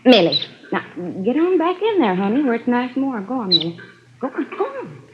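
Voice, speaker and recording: Prim, nagging middle-aged hotel clerk. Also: female voice, fairly high pitch, big pitch swings, brisk. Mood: fussy, coaxing. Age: 40s. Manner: indignant, fussy. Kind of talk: 1950s radio drama acting. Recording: dull, noisy vintage radio recording.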